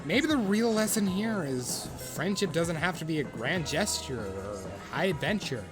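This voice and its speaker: A man performing an earnest, excitable storyteller in a comic audio drama. His fairly high voice swings widely as he narrates with theatrical, mock-solemn gravity. Soft music plays behind him. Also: normal speed. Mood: wry, musing. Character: earnest, excitable male narrator of an awkward would-be friend. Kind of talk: dramatic second-person scripted narration with comic profanity. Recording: music under the voice. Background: background music.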